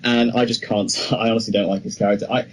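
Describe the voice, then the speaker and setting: A man's voice: lowish voice, level tone, fast talker, slight background noise. A man co-hosting a podcast, chatting casually and giving his opinions emphatically. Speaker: podcast co-host. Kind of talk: casual film news chat.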